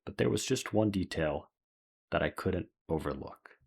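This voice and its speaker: A man's voice: low-pitched, lively intonation, moderate pace, creaky, husky. A man reading scripted narration as the host of a video essay. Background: music.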